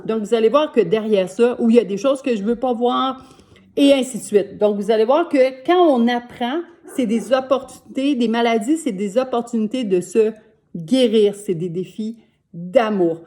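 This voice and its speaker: Québécois woman coach, sixties, mellow warm tone, earnest and repetitive. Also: a little high, normal speed. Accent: Québécois French. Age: 60s. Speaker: life coach. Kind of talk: motivational self-help talk to camera. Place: home.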